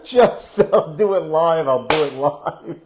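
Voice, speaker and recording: A man's voice: fairly high pitch, expressive, takes it slowly. A middle-aged man co-hosting a casual real estate stats chat, rambling with many fillers and restarts and laughing often. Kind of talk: casual live stat chat.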